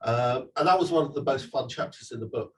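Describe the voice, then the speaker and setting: A man's voice: mid-range voice, brisk, distant mic. A man, the author, talking casually at a live event, chatty and digressive with many restarts and fillers. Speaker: author giving a talk. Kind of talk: live talk and audience Q&A. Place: event venue with audience.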